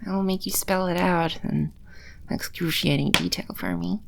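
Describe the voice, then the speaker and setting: A woman playing a haughty, scornful female demon in a scripted audio roleplay, condescending and sardonic, with breathy edges to her voice. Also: lowish voice, steady tone, moderate pace, background noise. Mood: dry, disdainful.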